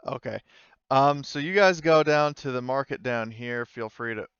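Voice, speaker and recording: A man narrating a tabletop game as game master in a casual, halting, improvised way, swearing now and then. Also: medium pitch, a bit bright, smooth, no echo, dull audio.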